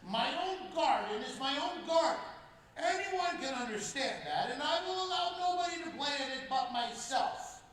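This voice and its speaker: A selfish, grumpy giant, put on by a man reading a storybook aloud. The voice booms in a high, stretched-out register. It rings around a big echoey church, heard from a distance. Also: animated, moderate pace, a bit bright. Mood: gruff. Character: selfish grumpy male giant, later remorseful.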